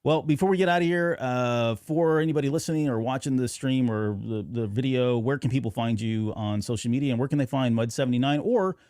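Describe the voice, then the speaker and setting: A man's voice: mid-range voice, fairly quick, hardly any pauses, darkish tone, clear, resonant tone, dry recording, clean studio sound. A man hosting a podcast in casual conversation, with an agreeable manner toward his guest. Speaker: podcast host. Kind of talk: casual interview chat.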